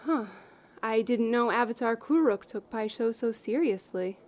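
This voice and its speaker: A woman's voice: on the high side, normal speed, a little mellow, natural voice. A woman playing an earnest, incredulous teenage girl in a fan visual novel. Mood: curious.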